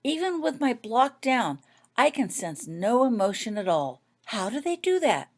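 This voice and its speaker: Young witch girl for a storybook, read by a woman: earnest, questioning, quick to impatience, emphatic. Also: on the high side, sing-song, a little mellow, pitched above the performer's own voice. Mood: puzzled, earnest.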